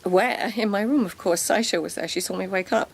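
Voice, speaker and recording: An actress playing an anxious, dignified palace lady in an audio drama, speaking formally with a troubled, breathy manner. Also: mid-range voice, brisk. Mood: troubled, defensive.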